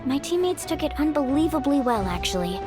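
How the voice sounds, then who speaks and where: Confident young game heroine, high voice, swooping intonation, breezy, chatty, self-assured. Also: female voice, big pitch swings, a little fast. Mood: breezy, friendly. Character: upbeat, confident young woman warrior of a seaside tribe. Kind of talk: video game voice acting. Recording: game music behind.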